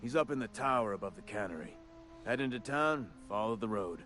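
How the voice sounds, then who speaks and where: An actor playing a folksy, shady undertaker in a game, drawling and conspiratorial, with music behind him. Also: male voice, lowish voice, animated, brisk, a bit bright. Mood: sly. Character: folksy undertaker man, middle-aged, shady and businesslike. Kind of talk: game dialogue. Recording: game audio with background music.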